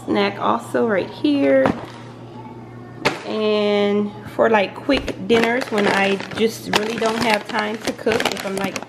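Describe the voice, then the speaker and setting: A woman's voice: mid-range voice, takes it slowly, vocal fry, music in the background, rough recording. A young woman, a college mom, chatting casually in her kitchen as she shows off her groceries, laughing now and then. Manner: chatty, relaxed, laughs often. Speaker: vlogger, college mom of three. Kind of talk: casual grocery haul vlog. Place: home kitchen, indoors.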